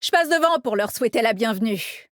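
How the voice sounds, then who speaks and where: Actress doing a cocky woman agent from a shooter game, highish voice, punchy and confident, teasing, really dry studio sound. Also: expressive, brisk, no echo, close to an everyday voice. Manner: confident, brash, punchy delivery. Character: cocky, teasing female agent, tough but proud squad leader. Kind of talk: video game character voice lines.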